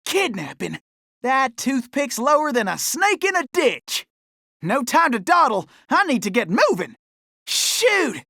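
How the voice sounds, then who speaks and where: An actor voicing a cocky, folksy raccoon gunslinger with a Southern cowboy drawl for an animated video game. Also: male voice, very high-pitched, measured pace, vocal fry, no echo, clean studio sound, exaggerated voice. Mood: folksy, musing. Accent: American Southern cowboy drawl. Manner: folksy, excitable, exclamatory cowboy slang. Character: cocky, folksy cartoon Old West raccoon gunslinger, male.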